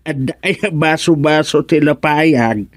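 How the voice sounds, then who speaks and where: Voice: mid-range voice, slow, no room sound, studio quality. A performer playing a playful, giggling village in-law in an Ilocano radio comedy. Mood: giggly. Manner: giggly, exclaims often. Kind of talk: Ilocano radio drama acting.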